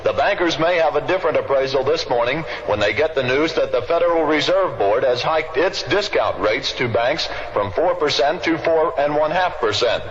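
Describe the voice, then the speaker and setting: A man's voice: fairly high pitch, expressive, moderate pace, few pauses. A man giving a scripted news report as a 1960s radio reporter. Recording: muffled, reverberant 1960s radio recording.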